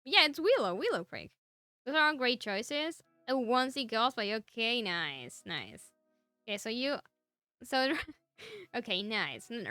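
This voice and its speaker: A fairly high-pitched woman chats with her stream audience, giggling between phrases. Her voice is bright and very lively, rising and falling a lot. Soft music plays quietly behind her. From Argentina. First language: Spanish. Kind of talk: casual chat with live chat viewers.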